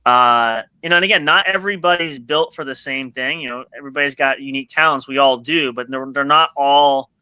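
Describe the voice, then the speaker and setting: Podcast host guy, bright crisp voice, rambles and restarts a lot. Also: medium pitch, brisk, hardly any pauses, no echo, rough recording. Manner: rambling, full of restarts and fillers. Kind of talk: casual interview chat.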